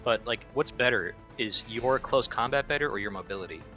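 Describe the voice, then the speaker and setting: A man's voice: mid-range voice, fairly quick, bright, forceful tone, highs cut off. A man playing a tabletop roleplaying game, talking in a blunt, informal way. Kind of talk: casual table talk. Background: faint background music.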